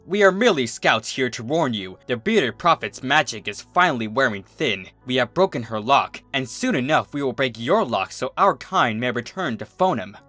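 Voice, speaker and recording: Man voicing a forceful, menacing predator spirit. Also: mid-range voice, sing-song, normal speed, hardly any pauses, dry recording, soft background music. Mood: ominous, threatening. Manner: ominous, forceful, theatrical. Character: menacing predator spirit scout warning its prey.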